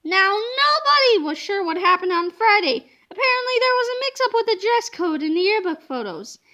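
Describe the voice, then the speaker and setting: A woman narrates a children's story with a very high, bright voice. Her delivery is expressive and emphatic, every phrase shaped for young listeners. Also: moderate pace, lo-fi sound. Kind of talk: expressive children's book read-aloud.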